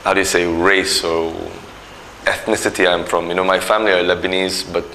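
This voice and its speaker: A man's voice: low voice, level tone, fairly quick, sharp tone, a little hiss or hum, rough recording. A man from Nigeria, a company executive speaking earnestly in an interview, with many fillers and restarts. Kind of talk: corporate interview talk.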